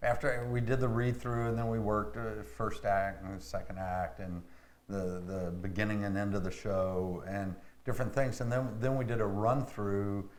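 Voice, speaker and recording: A man's voice: on the low side, steady tone, normal speed. A man being interviewed about theatre work, talking casually. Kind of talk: casual chat. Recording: roomy echo, faint background noise.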